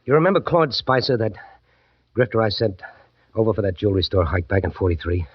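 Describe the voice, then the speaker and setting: Worried American private eye, brisk rapid slang, low-pitched, businesslike, radio drama, dry close sound, dull vintage audio. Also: male voice, big pitch swings, breathy edge, no echo. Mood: businesslike, impatient. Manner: brisk, slangy, matter-of-fact. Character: businesslike, worried male private detective, married, hard-bitten. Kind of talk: old-time radio detective drama acting.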